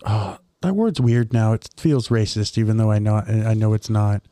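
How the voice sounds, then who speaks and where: Mellow, smooth-voiced male podcast co-host. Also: on the low side, normal speed, no echo. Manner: drawling, hedging with "you know" and "like". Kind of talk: casual podcast chat.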